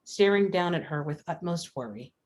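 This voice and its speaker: A woman's voice: lowish voice, animated, moderate pace. A woman narrator reading screenplay stage directions aloud in a scripted style.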